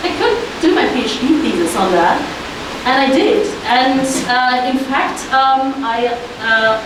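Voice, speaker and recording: A woman with a German accent giving an informal, enthusiastic conference talk as an academic researcher. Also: sits high. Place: indoor conference room. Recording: very echoey hall, distant mic, hissy noise.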